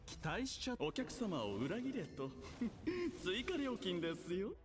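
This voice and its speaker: A man's voice: high-pitched, wildly swooping pitch, a little fast. An actor voicing a playful, sly young male fighter in an anime video game. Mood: sly, teasing. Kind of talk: Japanese game battle voice lines. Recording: game audio under loud music. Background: game music.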